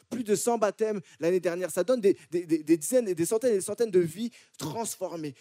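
A man preaches to a congregation in French with an accent from France. His voice is fairly high for a man, with a soft, mellow tone, and it sounds very dry and close. He often circles back, repeating words and restarting phrases as he builds his point. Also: dry recording. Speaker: church preacher. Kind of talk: sermon to a congregation.

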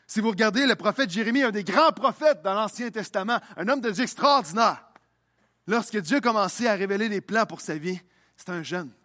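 Young male pastor, high voice, preaching with rising fervor. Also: animated, brisk, pushed and bright. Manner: preaching with rising intensity, repeating phrases. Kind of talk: sermon.

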